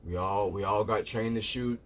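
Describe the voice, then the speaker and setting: A man's voice: lowish voice, fairly even intonation, moderate pace. A man live streaming, chatting casually with viewers in a rambling way, repeating himself and calling them "bro".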